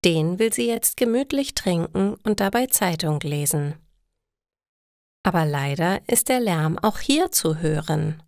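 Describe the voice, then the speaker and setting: Slow, expressive low-voiced woman narrator. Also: creaky, no room sound, clean studio sound. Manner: deliberate, carefully paced storytelling. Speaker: narrator of German listening lessons. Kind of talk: slow scripted story narration for language learners.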